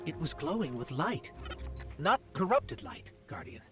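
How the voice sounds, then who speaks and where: Small robot sidekick, animated, earnest, curious, watchful, conversational, game dialogue with loud music behind. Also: male voice, a little high, measured pace, heavily acted. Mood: puzzled, uneasy.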